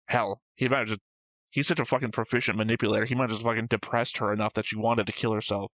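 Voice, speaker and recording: A man's voice: fairly low pitch, fairly even intonation, fast, a bit bright, no echo. A man co-hosting a reaction show, chatting casually and analytically, thinking aloud and swearing freely. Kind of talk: casual analytical chat about an anime episode.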